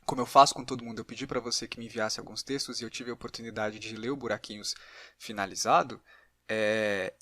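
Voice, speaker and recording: A man with a Brazilian Portuguese accent hosting a casual podcast interview, thinking aloud with halting, repeated words. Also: mid-range voice, a bit bright, dry recording, studio quality.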